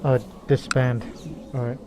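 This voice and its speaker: A man's voice: medium pitch, little pitch movement, a little slow, noisy background. Man musing through a strategy game. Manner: musing, laughing asides, talks as his character. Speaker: game let's player. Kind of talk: casual gameplay commentary.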